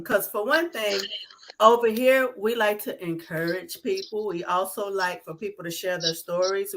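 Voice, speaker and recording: A woman hosting a live online talk show, giving energetic welcomes and shout-outs. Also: medium pitch, poor audio. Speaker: host.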